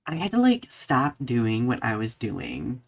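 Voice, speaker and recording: A man's voice: mid-range voice, huge pitch swings, a little slow, creaky, poor audio. A man co-hosting a podcast in casual conversation, speaking expressively and emphatically with a filler-laden, searching delivery. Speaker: podcast co-host.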